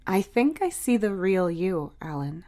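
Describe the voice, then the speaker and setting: A woman voicing a supportive young sister in a storybook, soft-spoken, reassuring and a little teasing. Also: medium pitch, very animated, measured pace. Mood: gentle, sincere. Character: supportive older sister, young, playful and tender. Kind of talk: dramatic audiobook character dialogue. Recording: music behind the voice.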